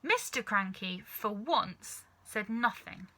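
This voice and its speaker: Very bright-voiced woman reading a story. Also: mid-range voice, animated, slow. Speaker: storybook reader. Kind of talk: expressive children's story narration.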